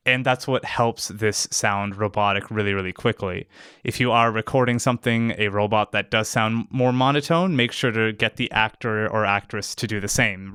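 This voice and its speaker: A man's voice: lowish voice, fairly bright tone, clean tone, no echo, clean studio sound. A man hosting a casual instructional video, explaining step by step as a sound designer. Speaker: tutorial host, sound designer. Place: close, dry voice-over recording.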